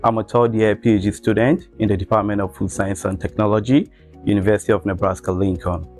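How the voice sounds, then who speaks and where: Male PhD student from Nigeria, fairly low register, brief self-introduction. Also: fairly even intonation, fast talker, darkish tone, faint music behind.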